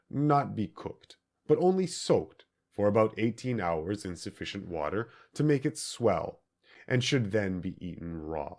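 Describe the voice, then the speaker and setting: A man reading scripted audiobook narration in deliberate, measured phrases with emphatic stresses. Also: sits low. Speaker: audiobook reader.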